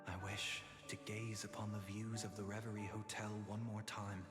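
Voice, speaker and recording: An actor playing a refined, wistful traveler in a video game, speaking in a soft, restrained, reflective way. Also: male voice, fairly low pitch, little pitch movement, moderate pace, loud music behind the voice, lightly acted. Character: wistful, refined male traveler bidding farewell to home. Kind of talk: scripted video game voice acting. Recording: echoing, reverberant hall effect.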